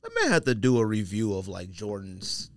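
A man's voice: on the low side, a little fast, no room sound, clean studio sound. A man hosting a podcast, talking sneakers casually in an informal, slangy way. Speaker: podcast host.

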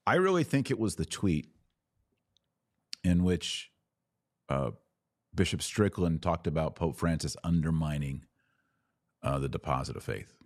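American guy podcast host, really low voice, dry close sound, blunt takes, repeats stuff to make a point. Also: lively intonation, a little slow, pauses often, no room sound. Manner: emphatic, repeats phrases for stress; opinionated, blunt. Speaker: podcast host answering live audience comments. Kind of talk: live commentary, reading and answering comments.

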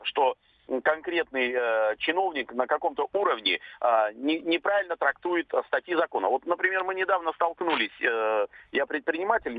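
A man nearly sixty calls in to a radio show and speaks haltingly, with many filler sounds. His pitch moves a lot. The sound is thin and dry, as if over a phone line. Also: no room sound. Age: 50s. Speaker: caller. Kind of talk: call-in radio comment.

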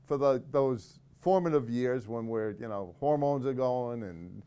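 Middle-aged guy on a podcast, talks it through thoughtfully, keeps restarting, normal pitch. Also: mellow, clear, resonant tone. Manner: thoughtful, explains slowly with restarts. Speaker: corrections officer, podcast guest. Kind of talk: casual podcast conversation.

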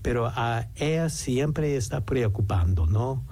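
A man's voice: medium pitch, expressive, dark tone. A man, an English speaker, hesitantly talking as a guest in a casual interview. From United States. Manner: hesitant, halting, many restarts.

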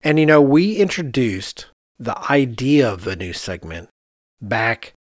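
A man's voice: mid-range voice, big pitch swings, a little slow, no room sound, studio quality. A middle-aged man hosting a podcast in casual conversation, speaking candidly and emphatically and repeating phrases to make his point. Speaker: podcast host, architect.

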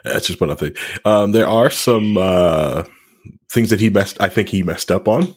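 A man's voice: sits low, normal speed, darkish tone, breathy edge. A man co-hosting a casual podcast, giving opinions in a blunt, joking way. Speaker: podcast co-host.

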